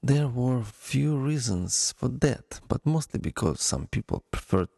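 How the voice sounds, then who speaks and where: A man with a Ukrainian accent hosting a solo podcast, speaking in a deliberate, halting way with pauses. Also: medium pitch, expressive, gravelly, mellow, breathy edge, dry recording, clean studio sound. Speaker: podcast host.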